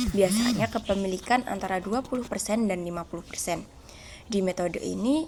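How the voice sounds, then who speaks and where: A woman's voice: mid-range voice, darkish tone. A young woman, a student presenter explaining material step by step. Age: young adult. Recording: faint background noise.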